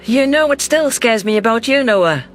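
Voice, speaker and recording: Man acting as alien governor, high voice, heartfelt, earnest, sci-fi audio story, faint music behind. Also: lively intonation, normal speed, fairly bright tone. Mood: tender, teasing.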